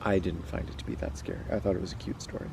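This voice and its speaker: A man's voice: low voice, fairly even intonation, rapid-fire, vocal fry, darkish tone, a little hiss or hum. A man hosting a casual read-aloud, moving between storytelling and chat. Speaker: host reading stories aloud.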